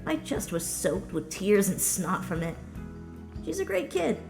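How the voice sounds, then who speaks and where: A woman voicing a brash but self-doubting young female pegasus pony in a story reading, with a casual, slangy, excitable manner. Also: mid-range voice, a little slow, gravelly, over music. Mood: tender, unsure.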